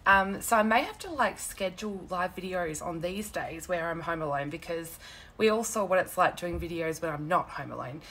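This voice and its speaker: A young woman with a croaky, sore-throated voice, chatting casually with viewers while she hosts a crafting live stream from her desk at home, laughing often and greeting commenters by name. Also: lowish voice, animated, moderate pace, fairly bright tone, rough recording. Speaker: paper-craft demonstrator hosting a live stream. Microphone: phone camera.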